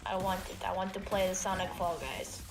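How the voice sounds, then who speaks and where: A woman's voice: medium pitch, steady tone, a little fast. A young woman with a youthful, babyish voice, chatting casually as a stream co-host. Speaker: stream co-host and emote artist. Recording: music behind the voice.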